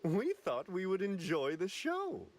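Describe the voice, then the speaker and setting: An actor playing a refined, unhurried attendant to a god in an anime video game, genteel and formal. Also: male voice, sits high, huge pitch swings, slight background noise, heavily acted. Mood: serene amusement. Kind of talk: English anime game dub acting.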